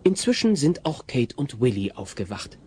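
A man's voice: medium pitch, sing-song, moderate pace, a little mellow, a little hiss or hum, close to an everyday voice. A man playing the narrator of a comedy radio play, telling the story with wry suspense.

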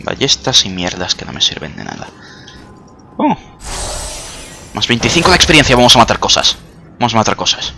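A young man giving casual, jokey gameplay commentary, excitable and quick to swear. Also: medium pitch, a little hiss or hum. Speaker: gamer. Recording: clear game music behind.

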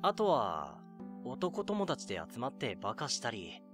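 A man playing a mild, modest 19-year-old in an amateur audio drama, with a casual, gentle, self-effacing manner. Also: medium pitch, wildly swooping pitch, a little slow. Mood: easygoing, encouraging. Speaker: narrator and lead actor in an audio drama. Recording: music behind the voice.

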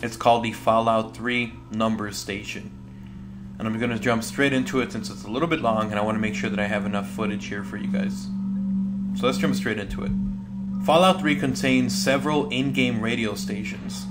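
A man narrating a scripted creepy story about a video game as a video host. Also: medium pitch.

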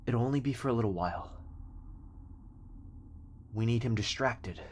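A man's voice: mid-range voice, moderate pace, a little mellow, slight background noise, pitched above the performer's own voice, natural voice. A man playing an earnest young boyfriend in an ASMR roleplay, protective and tender, speaking with urgent reassurance. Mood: tender, reassuring.

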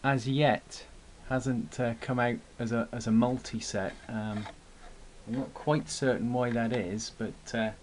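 A man giving an unscripted hobbyist product demonstration in a halting way, with frequent pauses, recorded indoors on a camera mic. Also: medium pitch, steady tone. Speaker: radio hobbyist presenting gear. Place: indoor room at a radio desk. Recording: clear background noise, clicks.

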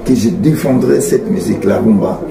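A middle-aged man from Congo, answering interview questions in a halting, earnest way with many fillers and repeats. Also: fairly low pitch, vocal fry. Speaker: singer guest. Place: small indoor studio room. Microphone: handheld mic on a stand. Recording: roomy sound with ambient noise.

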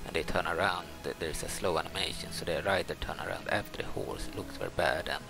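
A man with a Swedish accent giving casual, unscripted game commentary in a halting, hesitant way with many pauses. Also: low voice, fairly even intonation, gravelly. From Sweden, adopted from Brazil. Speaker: game modder and video maker. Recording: music behind the voice. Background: music.

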